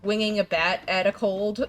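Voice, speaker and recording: A woman's voice: medium pitch, poor audio. Brief: young woman game streamer, stuffy congested voice, rambling casual chat, faint game music behind. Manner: chatty, rambling, frequent laughs and restarts. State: congested, fighting a cold. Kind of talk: casual gameplay chat with viewers.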